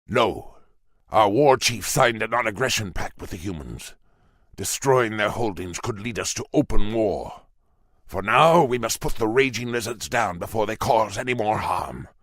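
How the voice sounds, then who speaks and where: An actor playing a wise, scholarly old orc shaman in a video game, thoughtful and expressive as he explains things like a mentor. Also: lowish voice, normal speed, no echo, studio quality. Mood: firm, cautious.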